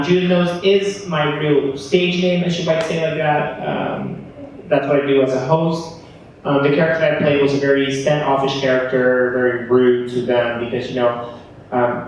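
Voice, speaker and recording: Young man hosting in an echoey hall. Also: medium pitch. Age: young adult. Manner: informal, apologetic asides. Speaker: host of a student panel event. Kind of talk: casual live panel hosting. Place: large hall at a live event. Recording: echoey room from far off, faint noise.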